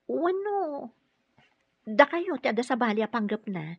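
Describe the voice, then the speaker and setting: An earnest single mother and nurse in a Filipino radio drama. She speaks politely and a little hesitantly in a breathy, medium voice with a Filipino accent. The audio is dry and muffled. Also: fairly high pitch, sing-song, a little slow, no room sound, exaggerated voice. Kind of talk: Ilocano radio drama acting.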